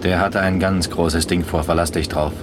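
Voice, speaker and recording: An actor playing an inquisitive East German police lieutenant in a radio crime drama, colloquial, brisk and wry. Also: male voice, low voice, steady tone, background noise, lightly acted. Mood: wry, confident.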